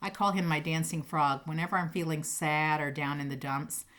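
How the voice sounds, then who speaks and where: Female teacher, slightly lower register, expressive poetry reading mixed with casual, enthusiastic talk to kids. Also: normal speed. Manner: playful, animated, emphatic on sound words; encouraging, enthusiastic. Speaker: teacher reading poems to pupils. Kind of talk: expressive poem reading and casual chat to children.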